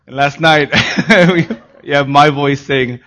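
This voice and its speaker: A man who is a pastor giving a sermon, hoarse in his voice, moving from a laughing, relaxed opening into earnest, solemn preaching. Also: medium pitch, slow, noisy background.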